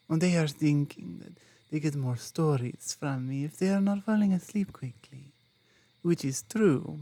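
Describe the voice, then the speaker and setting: Woman playing a slow, mellow mama bear. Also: sits low, no room sound, heavily acted. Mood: fond, coaxing, then gently firm. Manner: broken English, gentle, patient, unhurried. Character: loving adult mama bear soothing cubs to sleep. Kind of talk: ASMR roleplay bedtime storytelling.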